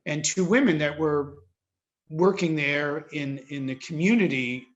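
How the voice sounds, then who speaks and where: Higher-voiced American man, thoughtful and halting. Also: lo-fi sound. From suburban New Jersey, United States. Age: 50s. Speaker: interviewee, Jesuit priest. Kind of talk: reflective interview answers.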